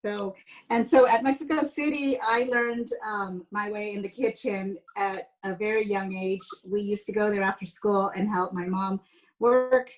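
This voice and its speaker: A woman's voice: medium pitch, steady tone, measured pace, low-quality recording. A woman in her fifties from San Jose speaks as an interview guest with a mid-range voice. She explains things in a chatty, casual storytelling style. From San Jose, United States. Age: 50s. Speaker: interview guest, educator and former pastry chef.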